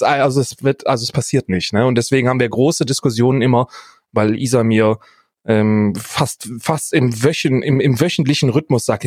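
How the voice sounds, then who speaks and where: A man's voice: medium pitch, slightly rough, dry recording. A man co-hosts a podcast in a mid-range voice, recorded very dry. He tells personal stories casually, pokes fun at himself and exaggerates for dramatic effect. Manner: self-deprecating, dramatic exaggeration. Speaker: podcast co-host.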